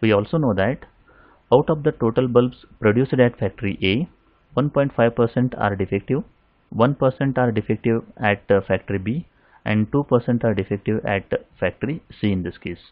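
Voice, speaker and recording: A man lecturing over slides in a steady explaining manner, often pausing with "uh" fillers. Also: medium pitch, no room sound, narrow, tinny audio.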